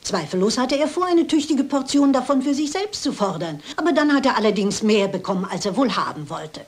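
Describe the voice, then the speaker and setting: A woman's voice: fairly high pitch, very animated, a little fast, darkish tone. An actress playing a shrewd elderly lady detective in a German crime drama, speaking precisely and with polite insistence. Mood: assured, explaining. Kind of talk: German dubbed crime film acting.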